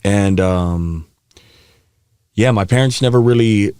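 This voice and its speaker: Laid-back man from Hawaii, low voice. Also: slow pace, smooth, dry recording, studio quality. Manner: relaxed, laughs often, animated when telling stories. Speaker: guest. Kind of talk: candid storytelling interview. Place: podcast studio. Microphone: studio mic.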